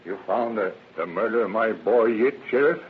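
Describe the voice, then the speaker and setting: A man's voice: lowish voice, lively intonation, moderate pace, close to an everyday voice. An actor playing a vengeful country father with a folksy rural American way of speaking, in an old radio drama. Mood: eager, accusing. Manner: folksy, accusing, ungrammatical drawl. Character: vengeful rustic father of a murdered son. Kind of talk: old-time radio crime drama acting. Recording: tinny, noisy vintage radio recording.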